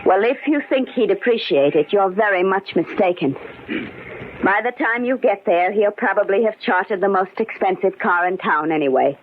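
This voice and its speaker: A woman's voice: medium pitch, animated, a little hiss or hum, lightly acted. An actress playing an anxious, embittered, determined woman fighting for her sanity, in melodramatic 1940s radio style. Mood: bitter, dismissive. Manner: intense, melodramatic, halting when cornered. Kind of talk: 1940s radio acting, dramatic first-person narration. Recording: tinny 1940s radio broadcast.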